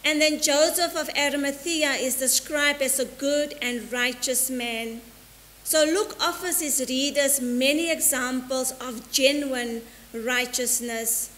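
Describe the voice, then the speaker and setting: A middle-aged woman priest preaches from the altar in a high, clear voice, measured and deliberate, slowing for each quotation. Her words ring out into the long reverb of a church sanctuary. A faint hiss sits under the recording. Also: a bit bright. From South Africa. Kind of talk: sermon. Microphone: stand mic at the altar, filmed by a fixed camera.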